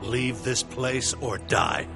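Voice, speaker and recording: A man playing an arrogant, scornful, tyrannical Pharaoh in an animated film, with a mocking theatrical delivery. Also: medium pitch, takes it slowly, creaky. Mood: cold menace. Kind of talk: animated film dramatic acting. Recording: film music behind the voice.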